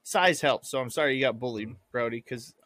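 A man's voice: mid-range voice, a little fast, fairly bright tone, no echo, clean studio sound. A man co-hosting a casual podcast, joking and laughing often as he talks. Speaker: podcast co-host. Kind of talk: casual chat.

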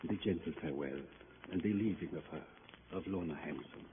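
An actor playing a gentle, grave old priest in a radio drama, speaking in halting, solemn, formal phrases. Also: male voice, sits low, natural voice. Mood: solemn, pleading. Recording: muffled, noisy old radio recording.

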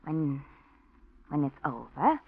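A woman playing a cool, scheming married woman who plots to kill her husband, in a 1940s radio drama, speaking in a hushed, persuasive way. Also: deep voice, big pitch swings, takes it slowly, breathy edge, full character voice. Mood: determined. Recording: dull old radio broadcast recording.

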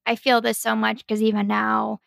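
Creaky, bright-voiced American woman, about thirty. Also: a little high, monotone, normal speed, no echo, clean studio sound. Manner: candid, informal. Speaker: podcast host, Navy service member. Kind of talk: casual reflective conversation.

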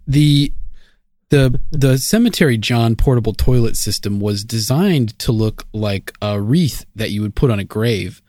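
A man's voice: mid-range voice, measured pace, dark tone, no echo, studio quality. A man co-hosting a comedy podcast, laughing through absurd made-up stories. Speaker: podcast co-host. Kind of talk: improvised comedy podcast banter.

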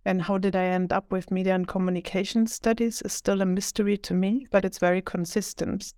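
A woman, answering interview questions as an author in a thoughtful, measured way with frequent fillers. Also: medium pitch, level tone, warm tone, dry recording, clean studio sound. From Germany. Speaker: author, media scholar.

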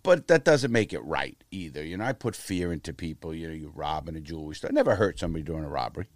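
Profane, animated man in his fifties. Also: a bass voice, quick pace, no echo, clean studio sound. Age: 50s. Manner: blunt, profane, animated storyteller. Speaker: interview guest. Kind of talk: casual interview storytelling.

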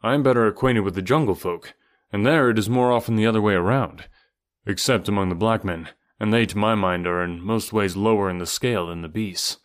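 A man voicing a strong, lonely young jungle man who speaks in a calm, measured and direct way. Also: lowish voice, natural voice. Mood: earnest.

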